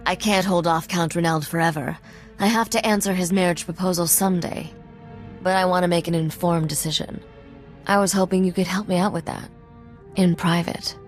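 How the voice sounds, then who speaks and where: An actress playing a sardonic, self-assured young smuggler who is also an exiled royal heiress, in video game dialogue. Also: female voice, lowish voice, natural voice. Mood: earnest, guarded. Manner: dry, wry, self-assured.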